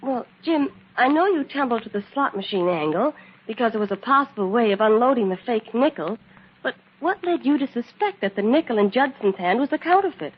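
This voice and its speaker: A detective's inquisitive gal assistant in an old radio serial. Her voice is fairly high, chatty and slightly husky. The vintage recording is dull. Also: animated, a little fast. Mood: curious. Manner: inquisitive, conversational. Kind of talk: 1940s radio acting.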